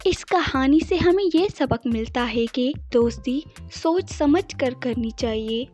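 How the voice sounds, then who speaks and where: A woman's voice: high-pitched, warm tone. A woman reading a scripted children's story as its narrator. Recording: children's music behind voice.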